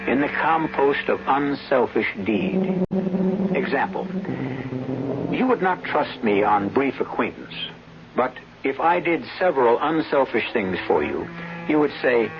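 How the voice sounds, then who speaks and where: Casting: male radio host, theatrical and reflective, medium pitch with wide swings, dramatic pauses. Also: very animated, music in the background. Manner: deliberate, musing, with dramatic pauses. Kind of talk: theatrical scripted monologue. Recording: muffled old radio broadcast.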